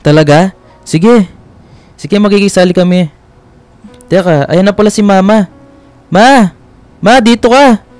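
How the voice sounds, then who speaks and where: Actor as a friendly, hesitant young Filipino man for radio romance, smooth voice, close dry sound. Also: sits high, unhurried, long pauses, darkish tone, dry recording, soft background music. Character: polite, shy, friendly young man in a love story. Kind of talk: radio drama acting.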